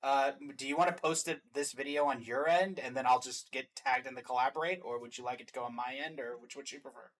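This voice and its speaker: A man's voice: medium pitch, fast, hardly any pauses, fairly bright tone, rough recording. A man hosting a casual reaction and ranking chat about video game trailers. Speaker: video host.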